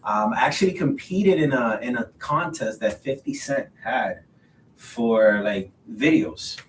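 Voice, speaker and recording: A man in his thirties from the Republic, a Spanish speaker, chatting in an interview in a halting way, repeating words. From Dominican Republic. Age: 30s. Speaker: podcast guest, realtor. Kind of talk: casual interview conversation. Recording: roomy echo, distant mic, faint rumbling noise.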